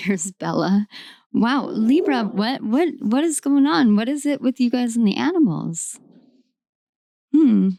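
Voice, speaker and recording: A hoarse young woman giving a casual tarot reading at home, rambling and affectionate, with many ums. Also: mid-range voice, a little mellow, dry recording, clean studio sound. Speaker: tarot reader. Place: at home in a room.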